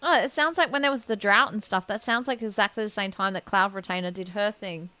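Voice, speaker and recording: Bright, cooing woman game streamer. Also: medium pitch, brisk, soft background music. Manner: cooing, exclamatory. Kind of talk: casual live playthrough reactions.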